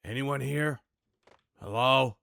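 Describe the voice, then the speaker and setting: A man's voice: mid-range voice, slow pace, vocal fry, a bit bright, voice pushed up. A man voicing a weary, grim hardboiled noir gunman who narrates his own story in terse, brooding lines, as in a video game. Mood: tense, defiant. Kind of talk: noir game monologue, voice acting practice.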